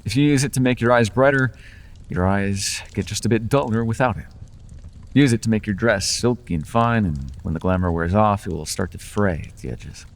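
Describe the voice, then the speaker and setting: A man's voice: fairly low pitch, moderate pace, gravelly, in a large hall. A man voicing a charming, persuasive male Fae who makes deals, with playful, coaxing salesman patter in an ASMR roleplay.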